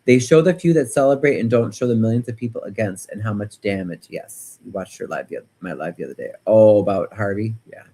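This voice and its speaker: An American man hosting a casual livestream, chatting and commenting in an animated, opinionated, rambling way, often repeating words for emphasis. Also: lowish voice, fairly quick, few pauses, breathy edge. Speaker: livestream host. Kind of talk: casual livestream chat and political commentary, reading viewer comments.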